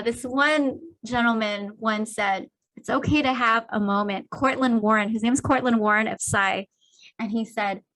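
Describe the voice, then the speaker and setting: A woman speaking as a guest in a motivational podcast conversation, lively and animated with upbeat pep-talk phrasing. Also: on the high side, low-quality recording.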